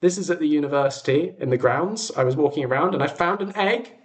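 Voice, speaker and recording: A man's voice: a little high, fast talker. A young British man hosting a livestream, chatting casually to viewers in an enthusiastic, whimsical way. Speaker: livestream host.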